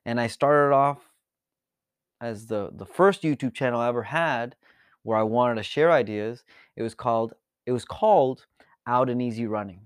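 A man from Mexico and the United States giving an informal, reflective monologue as a channel host, speaking earnestly and haltingly, repeating words for emphasis. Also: mid-range voice, clean tone, no room sound, clean studio sound. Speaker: podcast and YouTube channel host.

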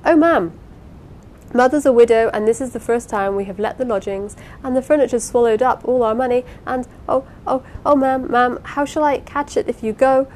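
A woman's voice: moderate pace, a little mellow, lightly acted. A woman voicing a meek, timid young lodging-house woman, flustered and pleading, in a dramatised Victorian novel reading. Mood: distraught, pleading. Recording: clear low rumbling background noise.

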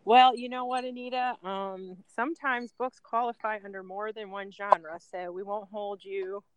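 Lady podcast host, medium pitch, easy casual banter, dry studio-like sound. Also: steady tone, a little slow, smooth, dry recording, low-quality recording.

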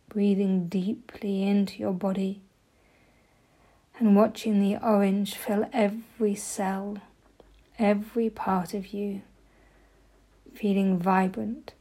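Slow, soft-spoken woman guiding meditation. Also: mid-range voice. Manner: soft, gentle delivery, often breathy. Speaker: meditation guide. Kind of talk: guided meditation, slow soothing instruction.

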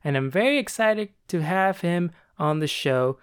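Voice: mid-range voice, lively intonation, a little slow, smooth. A podcast host reading a rehearsed, upbeat introduction and then interviewing a guest.

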